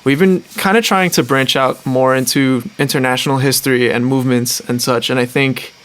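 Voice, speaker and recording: A man's voice: mid-range voice, steady tone, brisk, a bit bright. Rambling, eager podcast co-host man. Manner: rambling, enthusiastic, fillers. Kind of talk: casual podcast interview.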